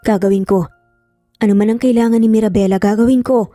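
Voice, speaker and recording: A woman's voice: mid-range voice, steady tone, quick pace, mellow, dry recording, lightly acted. Serious heavy-hearted father, acted by a woman, earnest, dry close sound, music underneath, audio storybook. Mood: resolute.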